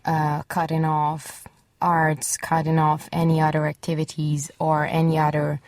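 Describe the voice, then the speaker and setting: Low, flat-voiced young Italian woman. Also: measured pace, dry recording. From Italy. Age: young adult. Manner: hesitant, searching for words. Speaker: guest, journalism student. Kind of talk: casual panel discussion. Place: small student radio studio.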